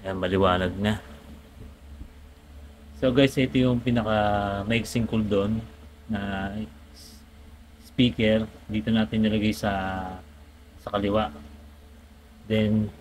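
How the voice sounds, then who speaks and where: A man giving a casual step-by-step hands-on tutorial, often halting as he searches for words. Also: lowish voice, steady tone, a little mellow. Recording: clearly noisy background ambience.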